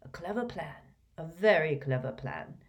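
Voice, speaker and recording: A woman voicing Dumbledore, softly spoken old wizard. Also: deep voice, lively intonation. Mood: calm. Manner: soft, mild, unhurried. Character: old male wizard headmaster, gentle and calm.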